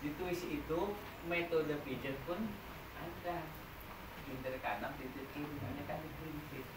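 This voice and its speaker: Echoey trainer teaching a massage class. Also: male voice, fairly high pitch, steady tone, normal speed. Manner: quizzing the class, explaining step by step. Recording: echoey room, noisy, mic far off.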